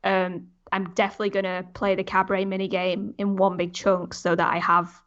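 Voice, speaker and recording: A woman's voice: medium pitch, monotone, a little fast, a bit bright. A woman on a podcast panel, talking casually about games in a conversational way. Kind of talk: casual gaming discussion. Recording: dull, band-limited voice audio.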